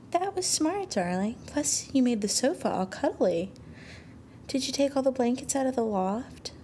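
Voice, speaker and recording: A woman playing a sweet, doting young anime girlfriend in a soothing ASMR roleplay, affectionate and gently coaxing. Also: a little high, slight background noise. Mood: caring, attentive.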